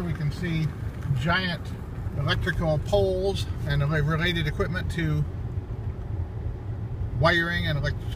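A man casually narrating a walking tour of an abandoned factory, explaining what he sees in a matter-of-fact way. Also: a little high. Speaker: vlogger exploring abandoned sites. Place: outdoors at an abandoned factory lot. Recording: outdoor recording with loud wind and traffic noise.

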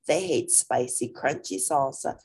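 A woman with a husky voice reading a picture book aloud to young listeners in an animated, deliberate manner, with brief asides. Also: rumbling low. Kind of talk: expressive children's read-aloud with brief asides.

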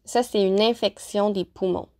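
A woman teacher narrating a lesson, pronouncing example phrases slowly and carefully. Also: medium pitch, fairly even intonation, warm tone. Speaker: French-as-a-second-language teacher. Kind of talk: clear, deliberate vocabulary lesson narration.

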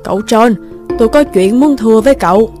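A woman's voice: on the high side, darkish tone, soft background music, natural voice. A woman as an earnest, humble middle-aged housekeeper. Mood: pleading. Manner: deferential, earnest, measured. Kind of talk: animated moral-tale dubbing.

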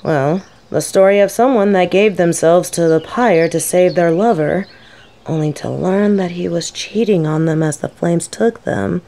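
A woman playing a gentle, scholarly female professor in an audio roleplay, speaking thoughtfully in formal words. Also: fairly low pitch. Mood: sorrowful, tender. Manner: soft-spoken, thoughtful, formal wording.

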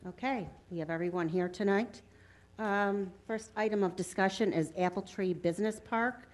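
Brisk woman chairing. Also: medium pitch. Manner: brisk and procedural, short acknowledgments. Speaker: town supervisor chairing the meeting. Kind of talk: chairing a council work session. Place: council chambers. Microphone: room microphone.

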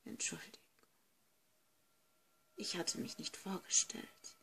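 A woman voicing a mysterious, courteous male ghost in a hushed whisper for an amateur horror audio drama. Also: takes it slowly. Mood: hushed, polite.

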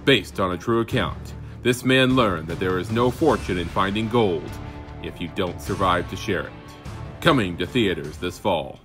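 A man's voice: deep voice, moderate pace, over music. A man delivering dramatic scripted narration in the style of documentaries and film trailers. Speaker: voice actor.

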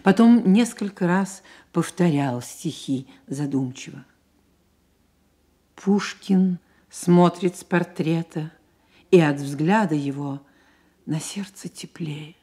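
A woman narrating a literary Soviet radio play in a measured, expressive, reflective way. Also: low-pitched, breathy edge. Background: music.

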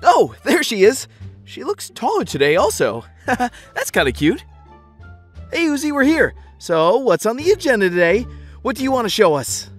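A man voicing a cheerful, excitable young male robot drone in a fan comic dub. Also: very high-pitched, moderate pace, breathy edge, faint music behind, full character voice. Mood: giddy. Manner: giddy, gushing.

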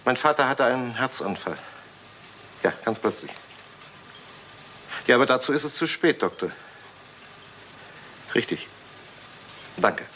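A man's voice: mid-range voice, expressive, measured pace, long pauses, sharp tone. A male actor playing the brusque, impatient son of an English lord in a German radio crime drama, formal and commanding. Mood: tense, urgent. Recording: faintly noisy old radio play recording.

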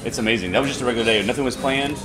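A man in his thirties hosting a casual travel vlog, excitable and quick to laugh. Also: mid-range voice, over music. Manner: upbeat, excitable, laughs often. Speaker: vlog host. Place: restaurant and street, indoors and outdoors.

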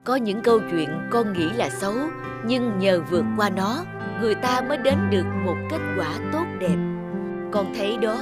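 A woman playing a wise, motherly nature spirit in a children's cartoon, speaking in a calm, measured, instructive way. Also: mid-range voice, natural voice. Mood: gently instructive. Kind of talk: dubbed children's cartoon dialogue. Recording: loud music behind. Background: loud music.

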